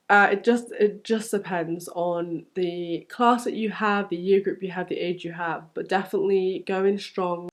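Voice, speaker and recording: A young woman with a London English accent, giving encouraging advice in a casual, conversational vlog style as a supply teacher. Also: mid-range voice. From London, England.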